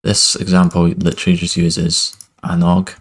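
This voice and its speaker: A young man with a low voice and a gravelly edge talks through a music production technique on a headset mic from his home studio. His explanation is relaxed and loose, full of "kind of" and "basically" as he clicks through the software. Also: moderate pace. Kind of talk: screen-recorded software tutorial. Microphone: headset with webcam inset.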